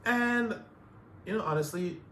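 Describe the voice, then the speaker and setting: A man's voice: high-pitched, measured pace, fairly bright tone, a little hiss or hum. Canadian man musing in a roomy space. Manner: reflective, repeats himself, many fillers. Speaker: podcast co-host. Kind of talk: casual chat.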